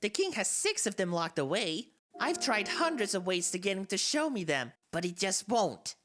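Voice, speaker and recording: A woman's voice: medium pitch, sing-song, normal speed, a bit bright. A woman voicing a soulless, bored talking flower in a dramatic game fan dub, confiding and theatrical, swinging between wistful and menacing. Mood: coaxing. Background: game soundtrack music.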